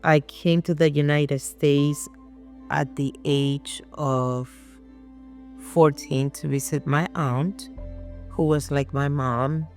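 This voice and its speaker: This is a middle-aged woman from Puerto Rico, speaking English with a Spanish accent. Her voice is slow and gravelly, with a fairly flat pitch, and she tells her story in a halting but determined way. Soft music plays underneath. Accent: Puerto Rican Spanish. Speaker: interview guest. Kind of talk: personal life story.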